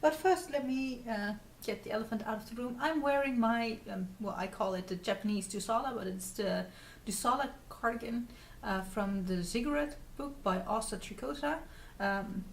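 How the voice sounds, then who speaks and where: A woman from the Netherlands with a Dutch accent, hosting a casual craft vlog in a halting, rambling way while getting over a cold. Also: fairly high pitch. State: recovering from a cold. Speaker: podcast host. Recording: distant mic with faint noise.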